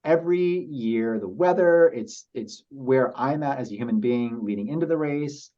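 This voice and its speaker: A man speaking as a podcast guest, telling personal stories in a halting, reflective and earnest way. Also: medium pitch. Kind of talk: casual interview storytelling. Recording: slightly dull lo-fi audio.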